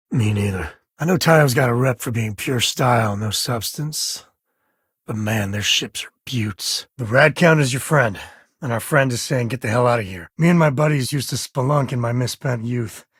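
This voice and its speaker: Male actor as a space frontier ranger, very raspy husky voice, dry close recording, folksy, wry, video game dialogue. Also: medium pitch, dry recording, natural voice. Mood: wry, conversational. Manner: folksy, wry, casual drawl of words. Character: roguish spacefaring frontier ranger, protective father with wry humor. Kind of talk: video game voice acting, short dialogue lines.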